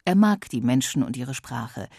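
A narrator reading a scripted documentary voice-over in a measured, even way. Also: mid-range voice, gravelly, slightly rough, dry recording.

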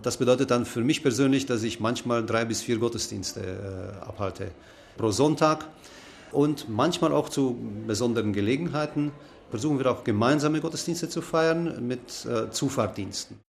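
A pastor in his forties from Romania, answering an interview in a thoughtful way with pauses and fillers. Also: medium pitch, vocal fry, slight background noise. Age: 40s. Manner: thoughtful, with fillers and some laughs.

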